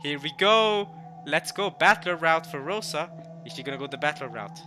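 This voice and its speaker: A man who speaks English as a second language, giving casual, rambling let's-play commentary and reading game text aloud, with excitable bursts. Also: on the high side, moderate pace, noisy background. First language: not English. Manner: rambling, repeats and rephrases himself, excitable bursts. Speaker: streamer playing and reading a visual novel. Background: game music.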